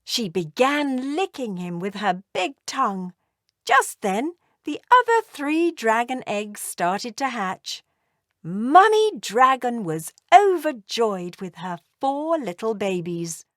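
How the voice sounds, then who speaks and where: A woman narrating a children's story aloud in an expressive, deliberate storytelling manner. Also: on the high side, no echo, clean studio sound.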